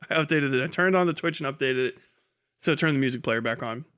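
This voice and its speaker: A man's voice: mid-range voice, brisk, fairly bright tone, clear, resonant tone. A man hosting a casual livestream, talking in a sweary, dismissive, sardonic way. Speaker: livestream host.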